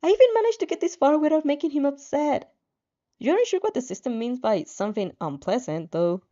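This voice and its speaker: Female story narrator, lively intonation, soft warm tone, casual asides, mildly lo-fi sound. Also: sits high, a little fast. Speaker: fan fiction narrator. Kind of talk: expressive story reading with casual reactions.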